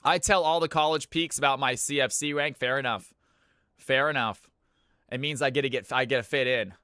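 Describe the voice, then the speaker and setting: A man streaming live and hosting viewer games, chatting casually with his audience. His voice is bright and smooth, and it is recorded dry and close. Every so often he launches into a loud hype-announcer voice. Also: medium pitch, fairly quick, no room sound. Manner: hype announcer bursts between easygoing chat.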